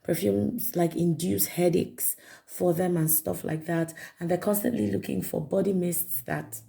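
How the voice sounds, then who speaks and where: A woman reviewing perfumes in a chatty vlog, her voice raspy and hoarse from a cold. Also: fairly low pitch, mellow. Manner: chatty, repeats herself, many fillers. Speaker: perfume vlogger. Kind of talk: casual product review to camera.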